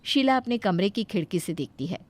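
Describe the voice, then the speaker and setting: A woman narrating a cartoon moral story in a lively, expressive storybook style. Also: medium pitch, fairly quick.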